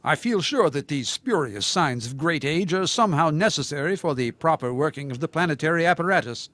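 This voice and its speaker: A man performs a self-important creationist crank for a comic radio play. His voice sits fairly high and swoops widely as he lectures with pedantic, grandiose formality, convinced of his own holiness. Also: animated, a little fast, a bit bright, no room sound. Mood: earnest lecturing. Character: pompous male crackpot creationist with messianic self-belief. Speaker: interview guest. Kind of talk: audio comedy drama, mock radio interview.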